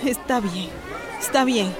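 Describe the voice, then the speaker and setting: A woman's voice: a little high, slow, a crowd in the background. An actress playing an anxious, distressed village mother in a radio drama.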